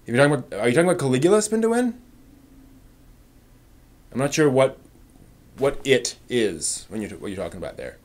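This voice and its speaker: Halting, opinionated man chatting on a live stream. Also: mid-range voice, big pitch swings. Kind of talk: casual live stream chat, replying to viewer comments.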